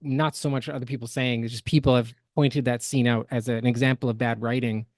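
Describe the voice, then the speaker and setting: A man co-hosting a podcast, giving casual critique in a hesitant, measured way. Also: mid-range voice, flat delivery, a little mellow, dry recording, studio quality. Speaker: podcast co-host.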